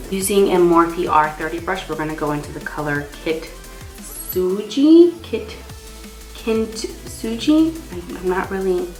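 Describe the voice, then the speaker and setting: A young woman speaks in a low voice, a little stuffy from a cold. She talks casually through a makeup tutorial as a beauty vlogger. The room adds noticeable echo, and faint music plays behind her. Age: young adult. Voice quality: slightly stuffy, congested. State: has a cold. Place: small indoor room. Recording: roomy echo.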